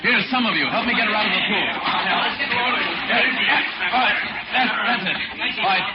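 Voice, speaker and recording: Man playing a composed, authoritative old-radio gentleman sleuth. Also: high-pitched, natural voice. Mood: grave, commanding. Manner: polite, measured, authoritative. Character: composed, educated, commanding gentleman mystic sleuth. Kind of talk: 1940s radio acting. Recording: noisy, dull 1940s radio recording.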